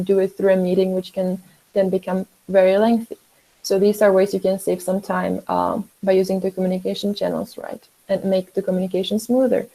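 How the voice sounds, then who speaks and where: Young female trainer, dark gravelly voice, even delivery, calm explanations. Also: medium pitch, low-quality recording. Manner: steady, explanatory, a few "uh" and "um". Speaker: workshop presenter. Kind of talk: online training talk.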